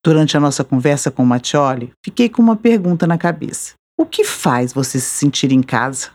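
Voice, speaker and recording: Low-voiced Brazilian woman narrating. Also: animated, darkish tone. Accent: Brazilian Portuguese. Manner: measured, reflective narration. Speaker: podcast host. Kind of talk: scripted narration.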